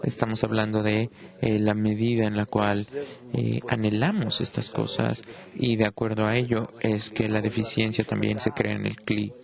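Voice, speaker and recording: A man, not a native Spanish speaker, giving an unscripted Kabbalah lesson with many pauses and restarts. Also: fairly low pitch, monotone, gravelly. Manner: halting, frequent "eh" pauses and restarts. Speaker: Kabbalah teacher. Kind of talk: unscripted lecture.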